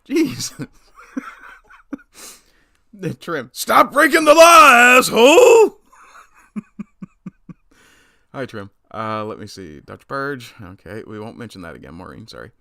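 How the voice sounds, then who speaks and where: Male streamer host, blunt and profane, bright forceful tone, dry close mic. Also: mid-range voice, very animated, slow pace, gravelly, no echo. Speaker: livestream commentator. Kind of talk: casual political commentary, reading chat.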